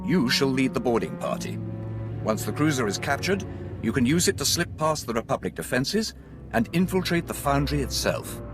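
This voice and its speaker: A man voices a proud fleet commander in a space game. He is formal, crisp and dutiful, with a middle-pitched voice. Clear music plays behind him. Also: lively intonation, normal speed, lightly acted. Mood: briefing. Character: dutiful, proud male Imperial fleet commander, hard-nosed. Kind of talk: dramatic video game acting.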